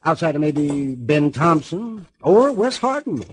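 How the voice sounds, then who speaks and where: A man's voice: a little high, sing-song, measured pace, husky, full character voice. A man playing a chatty, ghoulishly cheerful American frontier doctor in a 1950s radio western, folksy and garrulous. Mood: folksy, amused. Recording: noisy vintage radio recording with clicks.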